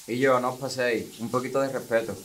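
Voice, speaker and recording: A man's voice: mid-range voice, fast, a bit bright, a little hiss or hum, lightly acted. A teenage male student with an Andalusian accent playing a principled, reproachful young footballer in an amateur radio play. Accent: Andalusian Spanish. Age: teens. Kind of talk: amateur radio drama acting.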